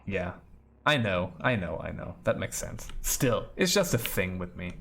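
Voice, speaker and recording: Creaky-voiced man streaming a game. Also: deep voice, very animated, a little slow, fairly bright tone. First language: German. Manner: musing, thinking aloud, repeats words. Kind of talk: casual game commentary, chatting with viewers.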